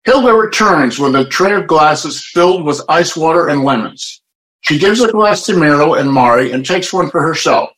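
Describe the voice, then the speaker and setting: Narrator with lively, varied intonation. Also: medium pitch, moderate pace, low-quality recording. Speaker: narrator reading stage directions. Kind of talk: scripted narration.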